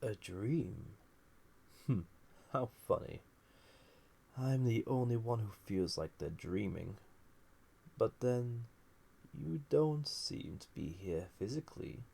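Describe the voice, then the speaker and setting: A man playing an ancient banished villain, a magical being, in an intimate audio roleplay, musing theatrically with a gravelly, husky, breathy voice. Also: medium pitch, animated, takes it slowly, long pauses, dark tone, exaggerated voice. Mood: bemused, wry. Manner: musing, theatrical, drifting into breathy near-whispers. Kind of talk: scripted audio roleplay, intimate monologue to listener.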